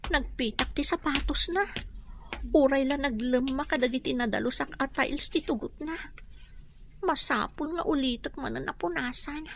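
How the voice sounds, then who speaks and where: A woman's voice: high-pitched, moderate pace, creaky, background noise. A woman acting a deferential, anxious young housemaid in a radio drama, polite and earnest in her speech. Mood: weary, diligent. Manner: polite and deferential, pleading when distressed.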